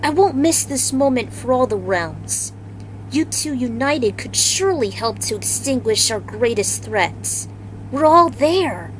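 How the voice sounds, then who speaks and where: A woman's voice: fairly high pitch, animated, measured pace, slight background noise, pitched above the performer's own voice, rough recording. A woman voicing a compassionate, devoted female warrior in a fantasy story, earnest and emotional.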